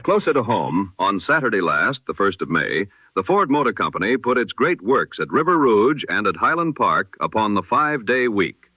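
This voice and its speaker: A low-voiced man reads the news in the solemn, measured style of an old-time radio broadcast. His pitch rises and falls widely through each sentence. The sound is dry, close and muffled, like a lo-fi radio recording. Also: big pitch swings, breathy edge, no echo. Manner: measured, solemn announcer cadence. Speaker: newsreader.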